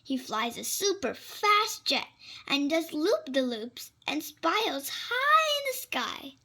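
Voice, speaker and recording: High voice, creaky edges, lively swoops, children's storybook read-aloud, expressive asides to young listeners. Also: takes it slowly. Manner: animated, simple exclamations and asides to young listeners. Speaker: storybook reader.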